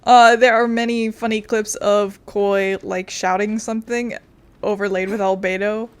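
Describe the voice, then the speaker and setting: A woman's voice: mid-range voice, steady tone, a little slow, smooth. A woman giving casual, playful commentary as she streams a game, chatty and informal.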